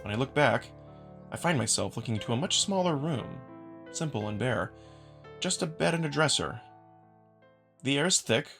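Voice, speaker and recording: Male narrator, medium pitch, scripted first-person storytelling, dramatic, music in background. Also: creaky, a bit bright. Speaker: visual novel narrator.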